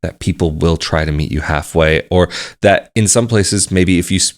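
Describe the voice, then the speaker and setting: A man talking casually to the camera, explaining things at a measured pace and repeating phrases for emphasis. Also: low voice. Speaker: vlogger.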